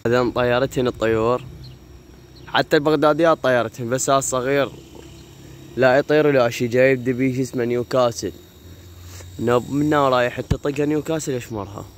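A man with an Iraqi Arabic accent talks casually to his viewers, his voice hoarse, at a middling pitch. He films his rooftop pigeons on a phone held in his hand. Faint outdoor noise and insects sit behind him. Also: steady tone, fast talker, long pauses. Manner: chatty, talks to the viewers while filming. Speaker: pigeon keeper vlogger. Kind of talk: casual vlog. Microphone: phone camera held while walking.

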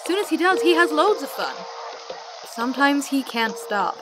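A breezy, teasing young female student played by an actress in a radio drama. Her high voice swings animatedly over clearly audible background ambience. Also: big pitch swings, moderate pace, heavy background noise. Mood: chatty. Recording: clear outdoor ambience behind voice.

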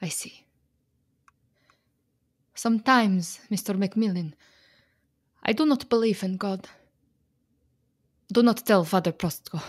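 An actress playing a proud, fearful Slovak immigrant wife and mother in a radio play, speaking with a Slovak accent and formal, halting phrasing. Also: medium pitch. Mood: subdued, sorrowful. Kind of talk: dramatic radio play acting.

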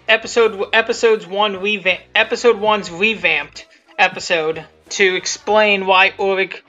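A casual commentator talking off the cuff about their own video, rambling, often repeating and rephrasing, with some mild swearing. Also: medium pitch. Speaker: creator giving commentary on own fan video. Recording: dull lo-fi audio with music behind. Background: music and quiet cartoon soundtrack.

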